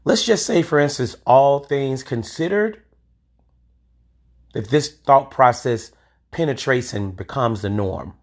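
A man's voice: mid-range voice, expressive, takes it slowly. A man in his forties hosting a solo podcast, talking in an emphatic, opinionated way with occasional swearing. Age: 40s. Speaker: podcast host.

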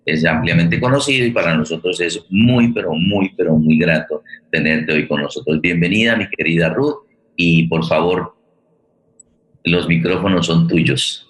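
A man's voice: low-pitched, normal speed, low-quality recording. A Colombian man hosting a live interview, effusive and courteous, repeating words for emphasis. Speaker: host of a radio theatre program.

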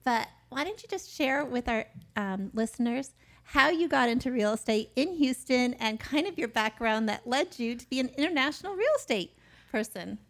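A woman's voice: fairly high pitch, lively intonation, moderate pace. A woman hosting a podcast in a chatty, effusive manner. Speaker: podcast host, business owner.

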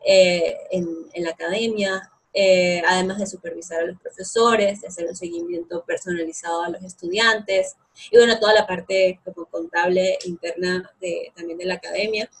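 A woman's voice: on the low side, fairly even intonation, normal speed, slightly rough, poor audio. A young woman, talking about her academy in an interview, enthusiastic and full of fillers. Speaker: guest, co-founder of an online English academy. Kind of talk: conversational interview.

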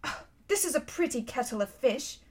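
A woman voicing a spirited, quick-witted young schoolgirl in a storybook adventure, excitable and urgent. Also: high-pitched, husky. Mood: dismayed excitement.

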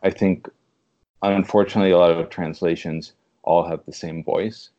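Really low mellow guy chatting in an interview, thinks as he goes, bit lo-fi. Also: fairly even intonation, moderate pace. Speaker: podcast guest, literary translator. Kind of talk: conversational interview.

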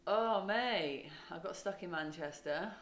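A woman's voice: on the low side, a little slow, roomy sound. A podcast co-host, a woman, chatting casually, laughing often and telling rambling stories. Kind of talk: casual chat.

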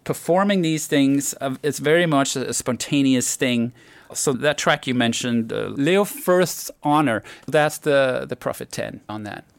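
A man, a composer, giving relaxed, detailed answers in an interview, with a deep voice. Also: a little slow, vocal fry, no room sound.

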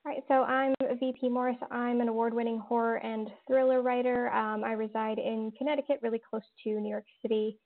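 A woman's voice: a little high, flat delivery, fairly quick, hardly any pauses. A woman, a horror writer and interview guest, answering thoughtfully with lots of fillers and restarts. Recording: tinny phone-like line.

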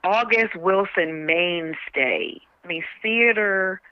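A woman speaking as a radio interview guest, emphatic and a little halting, often restarting her phrases. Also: mid-range voice, no echo. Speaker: stage play cast member and spokesperson. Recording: dull band-limited radio call sound.

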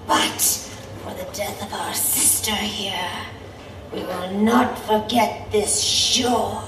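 A woman playing a menacing female siren, leader of a brood, in an audio drama, speaking slowly and gravely. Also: mid-range voice, fairly even intonation, close to an everyday voice. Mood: cold menace. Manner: slow, deliberate, grave. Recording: roomy, distant, noisy ambience.

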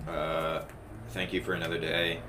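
Low gravelly young man, monotone. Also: noisy background. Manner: measured, hesitant, dry. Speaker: podcast co-host. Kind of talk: casual chat.

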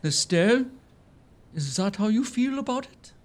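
Man acting a high-pitched, hapless jilted suitor. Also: very animated, normal speed. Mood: hurt, plaintive. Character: hapless, jilted young suitor, nice but timid. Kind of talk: gothic comedy audio drama acting.

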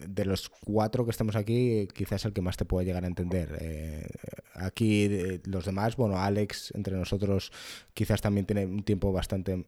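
Hombre de voz grave, acento de España. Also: male voice, low voice, steady tone, moderate pace, mellow. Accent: European Spanish. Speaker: podcast host. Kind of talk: casual conversational podcast interview.

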